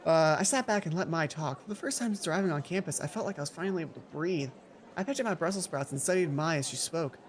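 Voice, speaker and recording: Playful mid-pitched game narrator over music. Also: fast. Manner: playful, theatrical reading. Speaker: let's play host. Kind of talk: reading visual novel aloud with casual asides.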